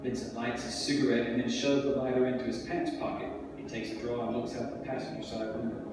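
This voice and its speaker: A man's voice: medium pitch, little pitch movement, fast talker, far from the mic. Man narrating in a very echoey hall. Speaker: stage direction reader. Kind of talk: scripted narration at a table reading. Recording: very echoey hall from afar, music.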